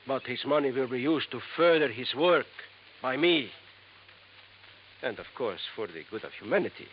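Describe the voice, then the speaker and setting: A man's voice: mid-range voice, lively intonation, moderate pace, lightly acted. An actor playing a sinister, scheming male professor with polished, formal diction, in 1950s radio drama acting. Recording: dull old radio recording, faint hiss.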